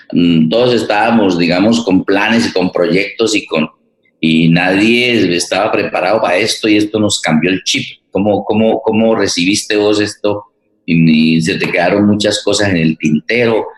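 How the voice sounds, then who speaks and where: Male radio host, Colombian accent, low register, halting conversational delivery, echoey room. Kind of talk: conversational interview hosting.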